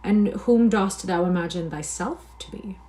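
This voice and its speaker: A woman reading the part of a guarded young nobleman who speaks in a mild, soft tone with old-fashioned phrasing. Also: medium pitch, moderate pace, natural voice.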